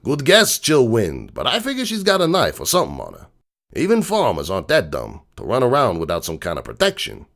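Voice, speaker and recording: A man playing a gruff, streetwise crook horse uncle who tells bedtime stories in a slangy, cynical, digressing way. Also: medium pitch, wildly swooping pitch, fairly quick, fairly bright tone, heavily acted. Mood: critical, lecturing. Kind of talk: dramatic reading of a comic bedtime story. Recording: music bed behind the voice.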